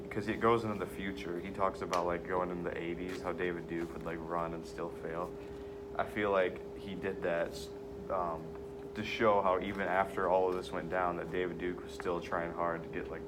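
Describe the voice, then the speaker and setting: A teenage young man speaking casually and haltingly in a student group discussion, with a monotone delivery. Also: sits low. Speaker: student leading a reading circle discussion. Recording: very noisy room recording with hum.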